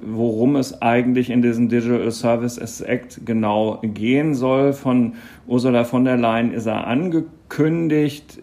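A man hosting a podcast interview with a gravelly edge to his voice. He speaks in a relaxed way and often pauses with fillers while framing his questions. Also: medium pitch. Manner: relaxed, frequent hesitations. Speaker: podcast host.

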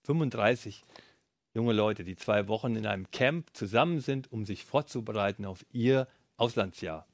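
A man's voice: fairly low pitch, normal speed, dry recording. A man hosting a live studio interview, asking questions and murmuring agreement while guests speak. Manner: frequent murmured backchannel. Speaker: livestream host.

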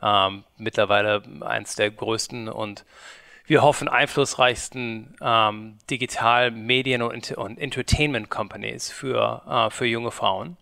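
A man in his forties from Germany speaks as an interview guest, his voice gravelly with fry. He talks haltingly, filling gaps with hesitations and restarting sentences. The sound is dry and close, with no room echo. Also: medium pitch, fairly even intonation, bright, forceful tone. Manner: hesitant, many fillers and restarts. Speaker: guest, media company co-founder. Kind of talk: conversational interview.

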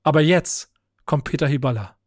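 A young man hosting a football podcast, energetic and joking, with casual swearing. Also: on the high side, moderate pace, no echo, studio quality. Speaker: podcast host. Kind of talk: casual chat.

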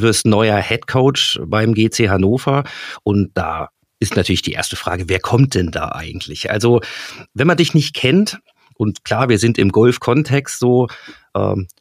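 A man with a fairly low voice hosts an interview. He asks questions in a chatty way and often hesitates with fillers. His voice sounds dry and close, with no room echo. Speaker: interviewer, podcast host.

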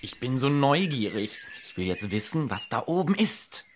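Man as a lively, naive fairy-tale suitor. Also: medium pitch, moderate pace, slight background noise, lightly acted. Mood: curious. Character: curious, eager, naive young male wandering hairdresser. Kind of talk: fairy-tale radio play acting.

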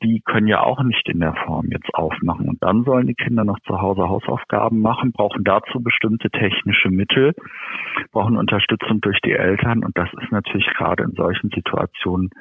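Male interviewee, low and husky, measured explainer, dry thin phone audio. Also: dry recording. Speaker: interviewed welfare association spokesman. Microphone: telephone. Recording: thin band-limited phone line.

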